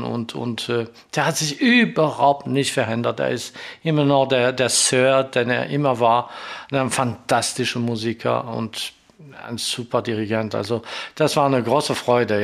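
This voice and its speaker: French-accented man with a creaky voice. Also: medium pitch. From Marseille, southern France. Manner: searches for words, often adds questioning tags. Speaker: orchestral horn player, podcast guest. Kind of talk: casual interview conversation.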